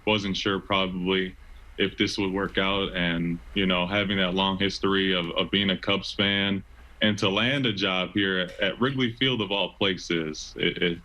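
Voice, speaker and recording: A young American man in his twenties with a low, forceful, bright voice. He talks casually and earnestly as an interview guest, often feeling for his words. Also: steady tone, a little slow, highs cut off. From Chicago, United States. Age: 20s. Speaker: interview guest, stadium announcer.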